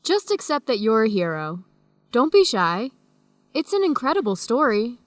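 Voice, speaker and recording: An actress playing a friendly, teasing young American woman in an educational radio drama, speaking clearly and deliberately. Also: on the high side, big pitch swings, dry recording. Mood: earnest, encouraging.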